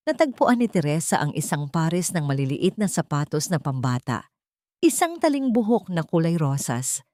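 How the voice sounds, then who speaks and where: A woman's voice: lowish voice, mellow, no room sound, studio quality. A woman narrating a dramatic audiobook story from a script.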